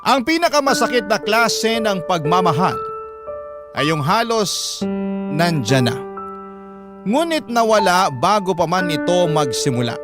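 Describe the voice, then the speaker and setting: A man narrating a letter as a radio drama host, sentimental and dramatic, with drawn-out phrasing. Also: high voice, very animated. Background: prominent music.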